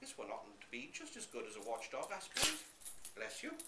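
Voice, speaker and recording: An old country caretaker from a storybook, voiced by a man, speaks in a homely, unworried way. His pitch rises and falls freely, and the room gives some echo. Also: wildly swooping pitch, fairly quick. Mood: matter-of-fact. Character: easygoing old male country caretaker, placid father. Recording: roomy live reading, slight background noise.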